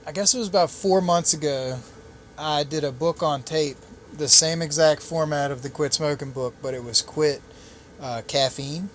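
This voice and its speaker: A man in his late thirties chatting casually on a fishing podcast, relaxed and rambling. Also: on the high side, normal speed, a little hiss or hum. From Chesapeake Bay area, US. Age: 30s. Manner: relaxed, rambling, with restarts and laughs. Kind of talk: casual chat. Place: on a boat out on the water.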